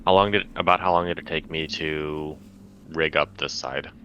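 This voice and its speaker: A man's voice: rumbling low, fairly even intonation, normal speed, pushed and bright, lo-fi sound, slight background noise. A man chatting casually as a player in a tabletop roleplaying game.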